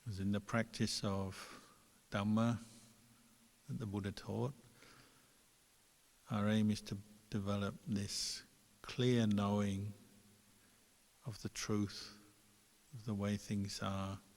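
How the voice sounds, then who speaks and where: Slow, even-voiced man guiding meditation. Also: fairly low pitch, creaky. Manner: calm, unhurried, gentle pauses between phrases. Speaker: Buddhist monk, meditation teacher. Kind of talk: guided meditation instruction. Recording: long hall reverb.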